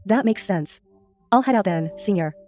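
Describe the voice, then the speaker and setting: Woman acting chatty junior college girl, considerate, soft mellow tone, faint music. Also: mid-range voice, expressive, moderate pace, lightly acted. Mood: casual, curious. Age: young adult. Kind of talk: dubbed drama acting, casual chat.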